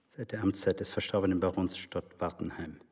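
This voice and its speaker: An actor playing a stolid, deferential secret agent in a radio drama, answering formally and defensively. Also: male voice, low-pitched, fairly even intonation, a little fast, husky, far from the mic. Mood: guarded, defensive. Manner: formal, guarded. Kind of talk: German radio drama acting.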